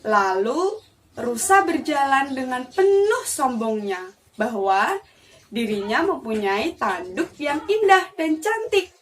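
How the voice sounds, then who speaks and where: Young woman, children's storyteller, very varied pitch, unhurried, distant mic. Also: very animated. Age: young adult. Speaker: student storyteller. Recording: distant mic with faint noise.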